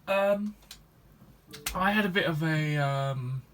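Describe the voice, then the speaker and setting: A man's voice: on the high side, takes it slowly, fairly bright tone, a little hiss or hum. A 21-year-old man, a gaming YouTuber chatting to his viewers in a rambling, hesitant way, full of restarts and ums. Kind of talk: casual vlog chat to viewers.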